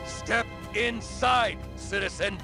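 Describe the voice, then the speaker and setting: A pushy government attendant in a video game. His voice is high, slow and coaxing, with a commanding edge. Loud music plays behind. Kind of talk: video game cutscene acting.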